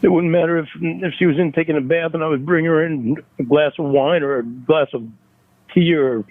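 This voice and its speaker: An upset man with a slightly high voice talks haltingly on a private call, close to tears and full of self-pity. The audio is dull and narrow, like a phone recording. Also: steady tone. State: upset, tearful. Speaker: caller. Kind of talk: emotional private phone conversation. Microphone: headset on a recorded call.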